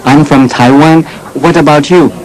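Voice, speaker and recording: An actor playing a polite accountant in a scripted textbook dialogue, speaking clearly. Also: male voice, a little high, fairly even intonation, normal speed, darkish tone, some chatter behind, natural voice, rough recording.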